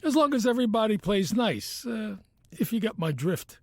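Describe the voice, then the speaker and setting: A man's voice: high voice, very animated, normal speed, dry recording, studio quality, heavily acted. A man playing a retired mobster in a comedy audio drama, dim and friendly with a faint menace, rambling in streetwise mob talk. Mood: coaxing, shady.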